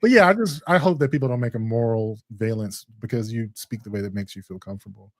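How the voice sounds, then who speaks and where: Co-host, male, from Chicago, deep rich voice, calm and thoughtful, mid pitch, dry close mic. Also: dry recording. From Chicago, USA. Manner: measured, reflective. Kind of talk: casual chat.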